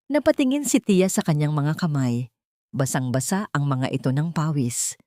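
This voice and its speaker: A woman narrating a romance drama audiobook in a measured, dramatic storytelling style. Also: deep voice, lively intonation, dark tone, dry recording, studio quality.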